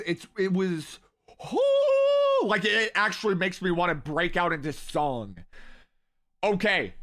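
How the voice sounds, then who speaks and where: A young man streams a watch party in a high, bright, ringing voice. He rambles with excitement, talks straight to his chat, and sounds strained as his voice starts to go. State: losing his voice.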